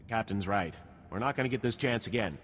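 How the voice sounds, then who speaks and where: Businesslike army lieutenant, reverberant. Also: male voice, lowish voice, expressive, quick pace, a bit bright. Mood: decisive, urgent. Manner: businesslike, briefing tone. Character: practical, decisive male Republic army lieutenant. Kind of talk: video game voice acting.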